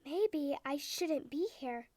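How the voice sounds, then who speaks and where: A woman's voice: sits high, lively intonation, slow, dark tone, no room sound, clean studio sound. A woman voicing an anxious, self-doubting young female whale in a bedtime story, timid and hesitant. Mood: worried.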